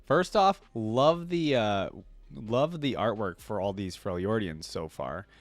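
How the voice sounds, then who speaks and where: A man's voice: on the low side, expressive, slow pace, no echo. A man giving casual reaction commentary as a video host, informal, slangy and rambling.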